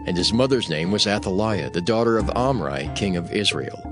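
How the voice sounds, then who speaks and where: Low-voiced male scripture narrator over music. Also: lively intonation, fairly quick.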